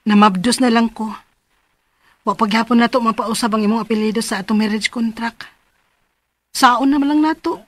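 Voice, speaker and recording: An actress with a Cebuano Filipino accent playing a troubled, earnest young pregnant wife in a radio drama. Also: female voice, on the high side, steady tone, normal speed, long pauses, dry recording, natural voice. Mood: worried, insistent. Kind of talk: radio drama acting and letter narration.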